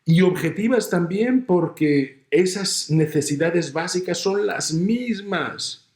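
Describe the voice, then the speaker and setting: A middle-aged man from Spain with a Spanish accent, giving a measured, explanatory lecture. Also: high voice, expressive, a little mellow, roomy sound. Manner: measured, explanatory, uses everyday examples. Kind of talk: recorded university lecture.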